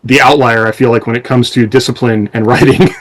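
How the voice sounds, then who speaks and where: A man speaks as a podcast co-host in casual conversation. His delivery rambles a little as he explains things, with a middling pitch and an everyday conversational tone. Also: fairly even intonation, brisk. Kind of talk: casual conversation among co-hosts.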